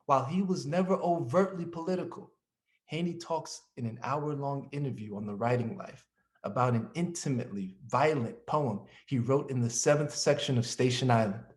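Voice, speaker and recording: A man presenting a scripted introduction and narration in the manner of a programme host. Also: mid-range voice.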